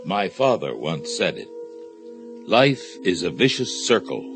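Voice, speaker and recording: Deep, gravelly, solemn storyteller. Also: male voice, heavily acted. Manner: solemn, deliberate. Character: grave, fatalistic male storyteller of a suspense tale. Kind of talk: dramatic scripted radio narration.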